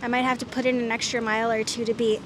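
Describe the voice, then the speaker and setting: A woman's voice: a little high, level tone, quick pace. A woman talking casually to the camera as the host of an outdoor vlog. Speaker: company employee and ride host. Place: outdoors beside the bikes. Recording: clear outdoor street and traffic noise.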